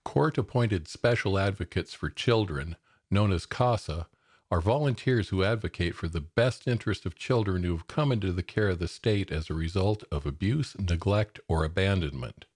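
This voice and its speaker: A man's voice: sits low, animated, moderate pace, a little mellow, no room sound, studio quality. An American man reading scripted public service announcements for radio.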